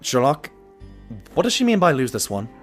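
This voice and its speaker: A man plays an earnest, fussy sidekick pony in an audio drama. His voice is fairly high and swings wildly up and down, excitable and exasperated, over soft music. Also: moderate pace. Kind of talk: scripted audio drama acting and narration.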